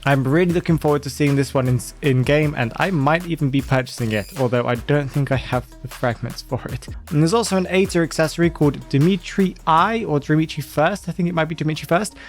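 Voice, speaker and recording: A man's voice: fairly high pitch, a little fast, hardly any pauses, soft background music. A man hosting a casual weekly game-update vlog, sounding nasal and congested from hay fever, chatty and thinking aloud as he corrects himself. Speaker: gaming channel host.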